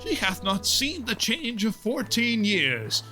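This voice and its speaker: A man playing a genial, stately old lord and father, measured and declamatory, in a Shakespearean audio play. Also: sits high, big pitch swings, pushed and bright, faint music behind, heavily acted. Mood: measured, protective.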